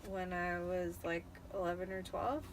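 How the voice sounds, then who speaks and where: A woman's voice: on the low side, flat delivery, moderate pace. Tipsy woman chatting over room noise. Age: 30s. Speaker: host reading a book aloud. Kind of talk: casual chat with dramatic book reading. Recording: clearly noisy room ambience.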